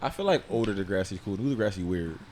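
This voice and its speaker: A man's voice: lowish voice, animated, quick pace, a little mellow. A man in his twenties co-hosting a podcast, chatting casually in slangy, laughing banter. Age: 20s. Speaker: podcast co-host. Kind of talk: casual chat.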